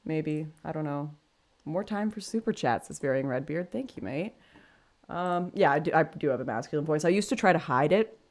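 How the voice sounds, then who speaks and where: Woman, 20s, deep voice, candid and self-deprecating, casual livestream host. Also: expressive, moderate pace, a little mellow. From Canada. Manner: chatty, frank, self-deprecating, thinks aloud with restarts. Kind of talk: casual livestream chat reading super chats.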